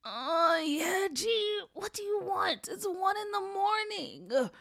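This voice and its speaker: A woman's voice: sits high, normal speed, fairly bright tone. A woman voicing a hungry, teasing young boy in a story, with slangy, exclamatory speech. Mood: sleepy, grumbling. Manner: slangy, exclamatory, repeats words. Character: hungry young boy, Hala, sleepy then eager, teasing.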